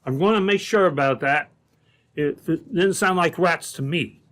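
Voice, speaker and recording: A man's voice: a little high, normal speed, fairly bright tone, close to an everyday voice. A man playing a suspicious, jumpy gold thief in an old-time radio drama, blunt and insistent. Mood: alarmed, suspicious.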